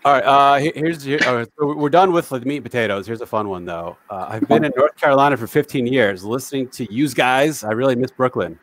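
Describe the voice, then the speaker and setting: A man's voice: mid-range voice, fairly quick, hardly any pauses, a bit bright, slight background noise. A man from the New York area hosting a casual livestream over a video call, chatty and self-deprecating as he reads viewer comments aloud. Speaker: livestream host. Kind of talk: casual live-stream chat.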